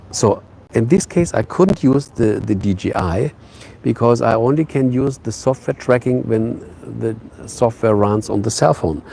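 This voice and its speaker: Gravelly-voiced man chatting live. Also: on the low side, mellow, slight background noise. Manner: hesitant, halting, many fillers and restarts. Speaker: live streamer. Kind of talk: casual live stream chat. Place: outdoors. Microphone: phone on a tripod.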